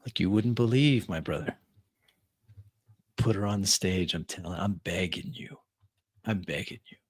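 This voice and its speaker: A man voicing a smooth-talking, coaxing club regular and musician in a tabletop roleplaying game. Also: sits low, moderate pace, no echo.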